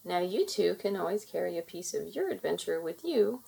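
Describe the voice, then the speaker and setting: A woman voicing a kind, caring young mermaid princess from a storybook, gentle and expressive. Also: lowish voice, moderate pace, gravelly, husky, heavily acted. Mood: warm, encouraging.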